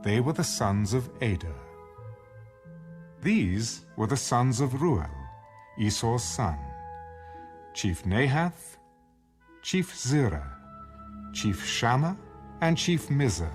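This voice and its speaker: A man giving a formal, measured scripted narration of scripture, as in an audio Bible. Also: mid-range voice, sing-song. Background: background music.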